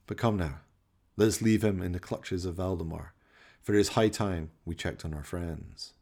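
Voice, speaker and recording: A man's voice: sits low, dark tone. A man narrating a comic horror radio play with theatrical, dramatic storytelling.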